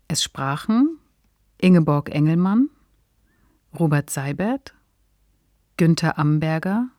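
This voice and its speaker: A woman's voice: low voice, mellow, no room sound. A woman co-hosting a podcast, speaking in an articulate, explanatory way on a close microphone. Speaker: podcast host. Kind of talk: credits and conversational discussion.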